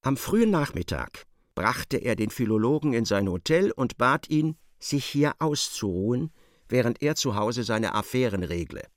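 A man giving expressive, articulate literary narration for a radio play, close on a studio microphone. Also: mid-range voice, moderate pace, dry recording.

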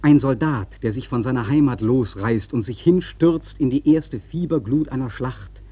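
Casting: male actor as seafaring storyteller for radio drama, soft mellow husky tone, intense and evocative delivery. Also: mid-range voice, normal speed, no room sound, natural voice. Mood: awestruck. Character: awestruck young whaleman sailor recalling the hunt. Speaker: narrator. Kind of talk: dramatic literary radio-play narration.

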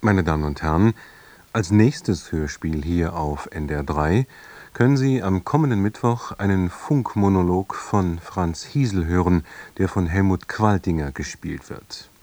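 A man's voice: deep voice, measured pace, darkish tone, dry recording. A man working as a radio continuity announcer, reading a scripted programme announcement.

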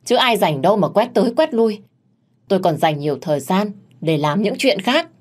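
Lady narrator as a feisty young maid in an audio story, stubborn, talks back, sharp tongue. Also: medium pitch, expressive, moderate pace, breathy edge, voice pushed up. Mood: irritated. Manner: retorting, stubborn.

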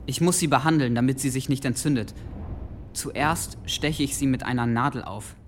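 An actor playing an eager, earnest young monk who explains things carefully in a radio drama. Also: male voice, medium pitch, normal speed, slight background noise, close to an everyday voice. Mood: careful, reassuring.

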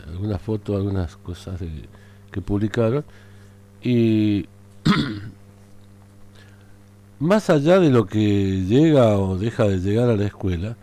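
A man with an Argentine accent hosting a radio show, speaking hesitantly with many pauses and fillers. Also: lowish voice, warm tone. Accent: Argentine Spanish. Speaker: radio host. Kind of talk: casual radio talk.

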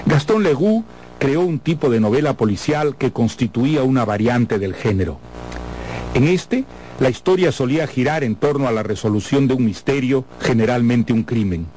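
A man presenting a classic novel in a scripted introduction, speaking in a measured, erudite and formal manner. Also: mid-range voice, mellow, slight background noise. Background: background music.

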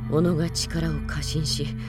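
A woman's voice: deep voice, level tone, unhurried. An actress playing a proud, defiant female dragon knight who speaks in a formal, commanding way in a Japanese radio drama. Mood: cold fury. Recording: drama production with music behind.

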